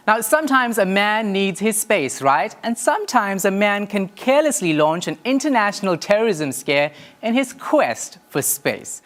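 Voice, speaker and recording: A man presenting broadcast news as an anchor and reporter, in a scripted delivery. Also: a little high.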